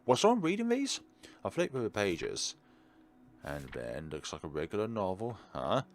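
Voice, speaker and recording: A man narrating a visual novel playthrough aloud in a dramatic, expressive way, mixing read lines with his own asides. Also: on the low side, a little hiss or hum. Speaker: let's player reading a visual novel aloud.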